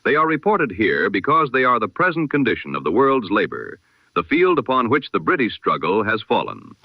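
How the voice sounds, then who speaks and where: A man with a lowish voice delivers the evening news in a measured, formal cadence, like an old-time radio announcer. His pitch rises and falls a lot as he stresses each phrase. The sound is dull and dry, with no room around it. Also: huge pitch swings, breathy edge, no echo.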